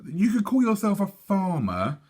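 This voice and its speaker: A man voicing a gently doubtful, reasoning mother in a storybook. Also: high voice, wildly swooping pitch, a little slow, breathy edge, voice pushed up, full character voice.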